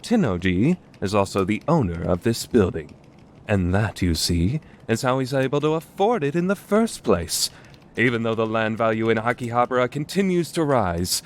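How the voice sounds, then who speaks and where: A man's voice: medium pitch, slight background noise, close to an everyday voice. A man playing a grandiose, self-styled mad scientist in an anime fan dub, with pompous, dramatic delivery.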